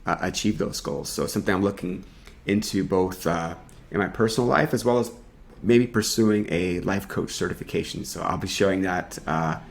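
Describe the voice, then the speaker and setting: A man's voice: deep voice, normal speed, creaky. A man co-hosting a video podcast, talking in an earnest, reflective way and reading a guest introduction. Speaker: podcast co-host.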